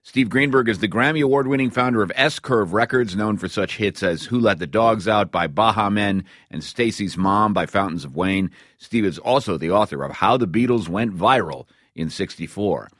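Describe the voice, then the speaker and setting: A man's voice: lowish voice, moderate pace, hardly any pauses, a bit bright, dry recording. A man with an American accent hosting a radio show, presenting scripted intros and interviewing in a studio.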